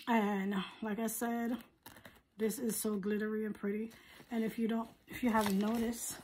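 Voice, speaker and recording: Hoarse, husky woman chatting casually. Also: mid-range voice, darkish tone. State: hoarse, recovering from surgery. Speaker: handbag review vlogger. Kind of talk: casual product review chat. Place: living room at home.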